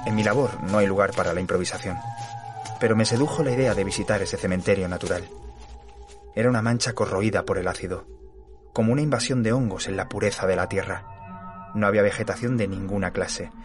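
Low-pitched man acting as weary surveyor, grave measured narrator of a horror audio drama, music underneath. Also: close to an everyday voice. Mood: grave, uneasy. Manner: measured, literary, sombre. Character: weary male surveyor narrating his eerie horror tale. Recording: music behind the voice.

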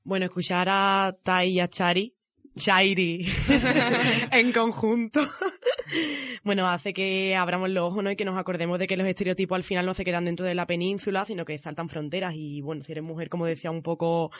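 A woman's voice: lowish voice, fairly even intonation, normal speed, a bit bright, no room sound. A woman with a Spanish accent from Spain, co-hosting a segment in a casual, conversational way. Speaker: co-host of a feminist radio segment.

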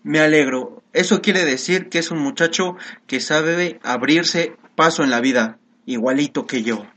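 A man's voice: on the high side, a little slow, a bit bright. A man playing a doting, talkative and comically confused father in a comic radio play. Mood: fond, pleased.

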